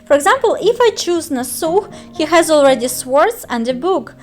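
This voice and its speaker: A young woman with a Russian accent explains a strategy game step by step, her voice pitched high and bright. She speaks carefully, pausing on fillers as she searches for words. Soft music plays faintly underneath. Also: big pitch swings. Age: young adult. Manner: careful, hesitant, frequent fillers. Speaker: game guide youtuber. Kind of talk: screen-recorded game tutorial.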